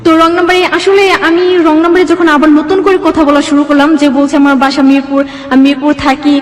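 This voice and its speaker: A woman's voice: sits high, little pitch movement, quick pace. A young woman from Bangladesh telling her life story as an interview guest, rambling and quoting dialogue as she goes, in a reverberant room. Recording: echoey hall-like reverb.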